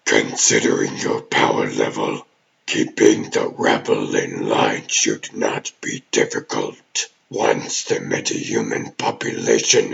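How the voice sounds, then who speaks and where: A man's voice: fairly bright tone, dull audio, full character voice. A man voicing a menacing exiled alien demon warlord in a comic dub, grandiose and vengeful, with a growling, pompous delivery. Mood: coldly persuasive. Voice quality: very deep, gravelly growl. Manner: pompous, slow theatrical villain speech.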